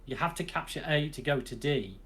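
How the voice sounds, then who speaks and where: A man with a fairly high voice chats casually about a game. He reasons the rules through out loud. He is exasperated by the design choices. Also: lively intonation, brisk. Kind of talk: casual gaming chat.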